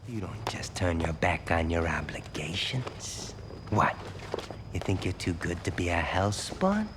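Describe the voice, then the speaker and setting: An actor playing a sneering male demon clown who mockingly scolds in an animated series. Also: low-pitched, slight background noise. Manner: scolding, mocking, lectures fast. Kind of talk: animated TV drama.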